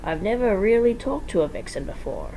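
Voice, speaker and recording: A woman's voice: mid-range voice, sing-song, brisk, mellow, a little hiss or hum, voice pushed up, poor audio. A woman voicing a boisterous but nervous male animatronic fox, exclaiming eagerly in a fan story reading.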